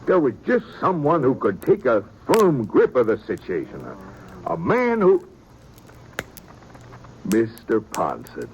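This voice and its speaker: An actor playing a fussy, wordy small-town preacher in an old radio drama, pompous and prone to stammering. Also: male voice, mid-range voice, huge pitch swings, measured pace, pauses often, darkish tone, low-quality recording. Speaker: reverend. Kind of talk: 1950s radio drama acting. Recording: old radio recording with faint hiss.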